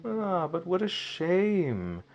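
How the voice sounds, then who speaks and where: Evil sorcerer, smug and mocking, theatrical, widely swooping intonation, unhurried, audio drama. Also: male voice, high-pitched, highs cut off, full character voice. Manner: taunting, theatrical, drawn-out delivery with sinister chuckles. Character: gloating, mocking wicked male sorcerer villain. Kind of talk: fan audio drama acting.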